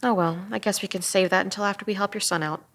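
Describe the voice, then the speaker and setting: An actress playing a sharp-tongued, indignant interrogator in an audio drama, sarcastic, profane and confrontational. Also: female voice, mid-range voice, steady tone, quick pace, close to an everyday voice. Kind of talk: audio drama table read.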